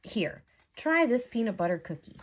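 Woman as storybook jellyfish, exasperated, earnest, questioning, lively swooping pitch, slightly husky. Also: moderate pace. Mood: coaxing. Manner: questioning, incredulous, coaxing.

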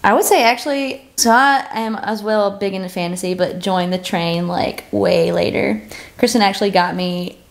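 A young woman chats casually as a podcast co-host. Her voice sits at an average female pitch. She is informal and emphatic, often repeating a phrase to stress it. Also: normal speed. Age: young adult. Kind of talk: casual chat. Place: living room, on a sofa.

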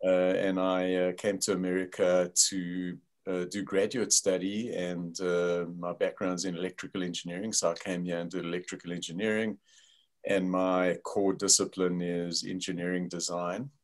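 A man's voice: low voice, little pitch movement, normal speed. An older man with a South African accent, a company founder explaining his work over a video call in a thoughtful way, with many fillers.

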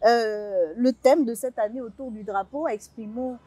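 A woman's voice: on the high side, measured pace, warm tone, husky, no echo. A young woman hosting a televised panel, asking questions in a formal, poised way from a studio. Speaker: host. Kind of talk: tv panel moderating.

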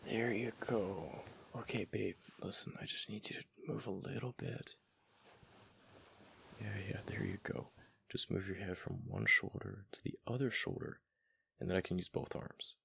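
A man voicing a flustered, affectionate young gamer boyfriend in a boyfriend ASMR roleplay, with a deep, gravelly, halting murmur. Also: bright, forceful tone, exaggerated voice. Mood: panicked pleading.

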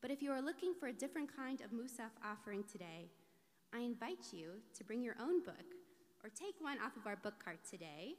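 A woman rabbi delivering a scripted sermon with measured, deliberate phrasing in a large sanctuary. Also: a little high. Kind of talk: scripted sermon, read from a lectern. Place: large synagogue sanctuary, indoors. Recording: reverberant large hall.

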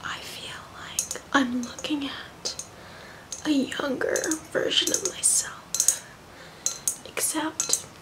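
A woman's voice: high-pitched, poor audio. Woman playing a whispering, vain, tipsy rich mom. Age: young adult. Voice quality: breathy whisper.

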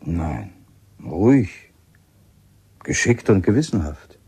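A man acting a brisk, courteous leader of a cave expedition in a radio drama. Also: low-pitched, big pitch swings, mellow, slightly rough, heavily acted. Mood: serious.

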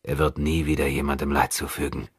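A man's voice: a bass voice, completely flat delivery, brisk, breathy edge, no room sound, studio quality, exaggerated voice. A man voicing a grim, protective avenging hero in an audiobook, terse and controlled. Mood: subdued, reassuring. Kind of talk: audiobook character dialogue.

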